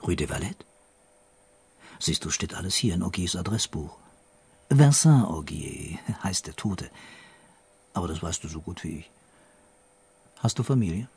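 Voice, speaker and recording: An actor playing a middle-aged, dreamy and brooding police commissioner in a radio crime drama, talking calmly and deliberately. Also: male voice, sits low, slightly rough, full character voice. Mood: calmly probing. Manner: musing, calm, deliberate.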